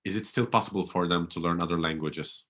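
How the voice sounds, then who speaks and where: Deep-voiced host. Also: male voice, fast, fairly bright tone. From Turkey. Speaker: podcast host. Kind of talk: interview podcast.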